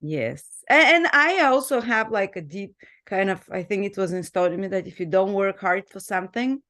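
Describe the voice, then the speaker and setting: A woman's voice: mid-range voice, a bit bright. A woman from Bulgaria with a Bulgarian accent, hosting a casual conversation and laughing often, with hesitant restarts. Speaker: host.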